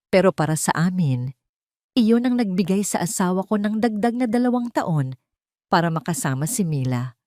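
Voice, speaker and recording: A woman's voice: lowish voice, warm tone, dry recording, clean studio sound, lightly acted. A woman voicing a humble, grateful, loyal old man, a former driver, earnest and emotional in an audio storybook. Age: older.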